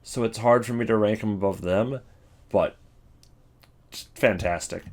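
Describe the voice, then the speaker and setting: A man's voice: on the low side, measured pace. A man giving casual, off-the-cuff commentary as the host of a ranking video.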